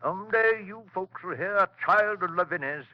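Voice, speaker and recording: A man's voice: high-pitched, moderate pace, lightly acted. An actor playing a half-insane, boastful old rural grandfather who talks in cryptic rustic dialect, in 1940s radio drama style. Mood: sly. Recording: dull old radio broadcast.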